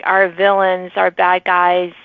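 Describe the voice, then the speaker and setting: A woman hosting a conversational author interview, chatty and quick to react with little interjections. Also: lowish voice, monotone, no room sound. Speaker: podcast host. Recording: narrow phone-like sound, dry.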